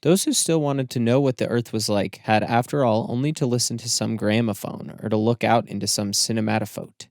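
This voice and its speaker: A man narrates literary fiction for an audiobook. His voice sits somewhat low. The sound is very dry, free of room echo, and the reading is scripted. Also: fairly quick, hardly any pauses, a little mellow.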